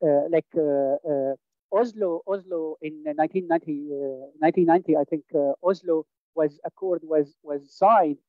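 A man's voice: on the high side, normal speed, no room sound. A man from Syria speaking English, talking emphatically on a video call through a headset, with many fillers and restarts. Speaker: audience member. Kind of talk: impassioned political comment. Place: small home room, indoors, video call. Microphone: headset mic. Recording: dull dry video-call audio.

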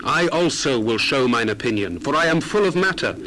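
A man's voice: mid-range voice, expressive, brisk, pushed and bright, slight background noise, poor audio. An actor playing an earnest, indignant young man of ancient times, declaiming solemnly in a dramatized scripture reading. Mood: impassioned. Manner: declamatory, solemn, archaic phrasing.

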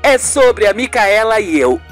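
A woman's voice: mid-range voice, sing-song, measured pace, soft background music. A woman voicing an anguished, tearful aunt with startled exclamations in a radio soap. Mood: affectionate, serious. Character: anguished, tearful aunt hiding a family secret. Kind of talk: amateur radio soap acting.

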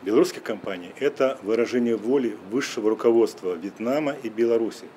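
An older man giving a measured, official-sounding interview answer as a delegation official in a formal reception room. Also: medium pitch, warm tone, a little hiss or hum.

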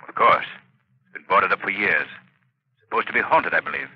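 A cool, wary hero with a husky, low voice and lively inflection. His replies are short and guarded, heard through a muffled old radio broadcast. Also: fairly quick. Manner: terse, wary. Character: cool, guarded male hero with a secret identity. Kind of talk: 1930s radio drama acting.

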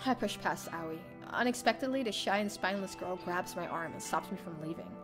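A woman's voice: on the low side, expressive, a little fast. A young adult woman streamer reading a visual novel aloud as dramatic first-person narration. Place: indoor streaming room. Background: faint game music.

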